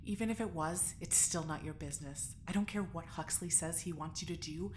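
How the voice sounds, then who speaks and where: A woman's voice: brisk, darkish tone, natural voice. A woman acting in an audio drama as a blunt, guarded, defiant character with a firm, clipped delivery. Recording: faint noise with low rumble.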